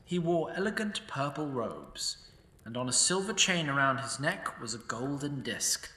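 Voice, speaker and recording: A man's voice: medium pitch, big pitch swings, measured pace, sharp tone. Wry male fantasy narrator. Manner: dry, wry storytelling. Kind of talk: scripted comic fantasy narration. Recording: echoey room, from a distance.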